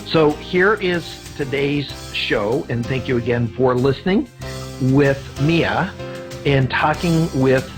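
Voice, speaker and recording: A man's voice: medium pitch, slow pace, music in the background. A man with a Canadian accent, speaking conversationally as a podcast host and interviewer.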